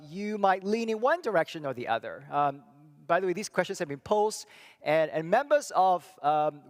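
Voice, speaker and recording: A middle-aged man from Singapore giving a church talk, thoughtful and halting, with many fillers and restarts. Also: high-pitched. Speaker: church speaker and psychology professor. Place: church hall. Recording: long reverb of a hall.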